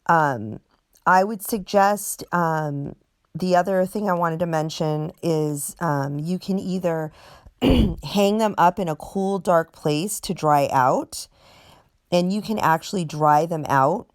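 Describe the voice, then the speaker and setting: A woman's voice: fairly low pitch, takes it slowly, dry recording, studio quality. A young woman vlogger with a scratchy, allergy-worn voice, chatting casually and rambling with lots of ums and likes. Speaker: craft vlogger host.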